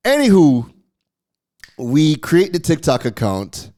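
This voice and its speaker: A middle-aged man hosting a podcast, telling a casual story in an animated way, laughing often and restarting his phrases. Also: fairly high pitch, slow pace. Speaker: podcast host.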